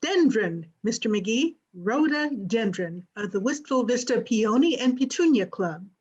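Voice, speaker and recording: Woman as an effusive club lady, highish lilting voice, polite, eager, radio comedy, dull lo-fi sound. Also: lively intonation, unhurried, a little mellow, breathy edge. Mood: gushing. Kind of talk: old-time radio comedy acting.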